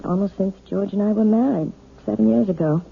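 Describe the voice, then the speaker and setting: Gentle, anxious husky-voiced piano teacher. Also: female voice, mid-range voice, a little fast. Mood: hesitant, confiding. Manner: gentle, hesitant, evasive. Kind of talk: 1970s radio drama acting. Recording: muffled, phone-like old radio recording.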